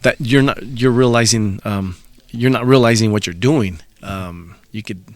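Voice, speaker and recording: Low-voiced, easygoing man from El Salvador. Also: normal speed, no room sound. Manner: easygoing, reflective storytelling. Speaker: podcast guest. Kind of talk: casual podcast conversation.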